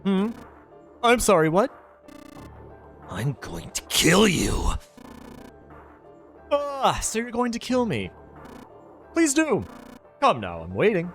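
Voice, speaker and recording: A man playing a pompous, sadistic gentleman villain. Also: high voice, wildly swooping pitch, slow, long pauses, faint music behind, pitched above the performer's own voice, exaggerated voice. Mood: taunting. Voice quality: pompous, mocking, overly polite. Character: cruel, genteel middle-aged male villain. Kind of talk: audiobook character voice.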